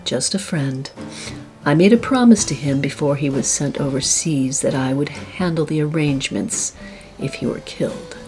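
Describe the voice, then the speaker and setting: A woman's voice: sits low, normal speed, darkish tone, soft background music, close to an everyday voice. A woman playing a proud, guarded young socialite in a 1940s noir radio play, speaking in a composed, formal way. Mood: composed, secretive.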